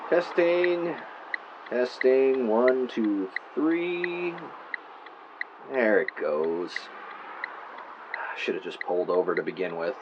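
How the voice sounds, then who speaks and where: A man's voice: mid-range voice, very animated, takes it slowly, long pauses, muffled recording, noisy background, voice pushed up. A man playing an irritated, foul-mouthed podcaster who mutters and berates himself into a tape recorder in an audio drama. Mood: flustered, irritated. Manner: muttering, swearing, self-berating.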